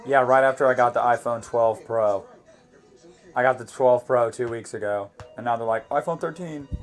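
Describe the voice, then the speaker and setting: A man in his twenties co-hosting a live movie commentary, chatting casually with viewers in a relaxed way. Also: mid-range voice, normal speed, soft background music. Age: 20s. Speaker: co-host of a movie commentary channel.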